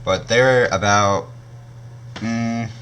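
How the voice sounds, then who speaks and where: A young man with a naturally deep voice, casually reviewing gear on his channel and often stopping to correct himself. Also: steady tone, very slow, fairly bright tone, slight background noise. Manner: rambling, self-correcting, frequent um and uh.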